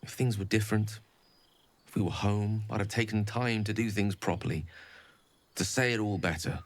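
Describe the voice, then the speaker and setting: A man's voice: lowish voice, steady tone, normal speed, close to an everyday voice. An actor playing an eloquent, learned male wizard in a video game, speaking in articulate, tender, formal phrasing. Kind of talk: game voice acting. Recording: game audio with soft music behind.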